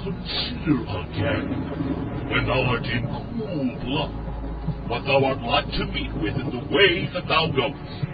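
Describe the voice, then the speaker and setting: A man's voice: mid-range voice, expressive, highs cut off. A man playing a hideous, proud fiend prince in an audio drama, speaking archaic lines with grandiose disdain and menace. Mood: scornful, menacing. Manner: slow, grandiose, disdainful delivery. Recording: echoey, distant, under loud music. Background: loud music.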